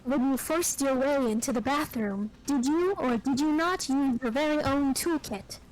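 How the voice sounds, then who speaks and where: A woman voicing a cool, composed teenage girl detective from a video game, measured and probing. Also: high-pitched, natural voice, low-quality recording. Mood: cool, accusing. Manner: measured, interrogating. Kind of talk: fan voice acting of a video game.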